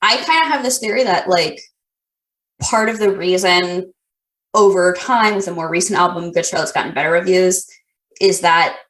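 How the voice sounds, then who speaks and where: A woman's voice: on the low side, fairly bright tone, roomy sound, rough recording. A woman hosting a casual podcast interview, friendly and rambling, full of fillers and restarts. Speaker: podcast host.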